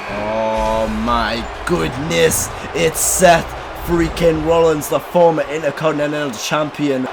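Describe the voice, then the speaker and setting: A man commentating his own wrestling video game, losing his voice, getting hyped and shouting at the big moves. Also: fairly high pitch, background noise. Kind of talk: excited play-by-play commentary.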